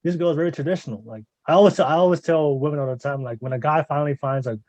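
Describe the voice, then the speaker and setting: A man's voice: fairly high pitch, racing, darkish tone, no echo. A man hosting a podcast in a casual interview style, rambling as he repeats and rephrases what he says. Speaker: podcast host.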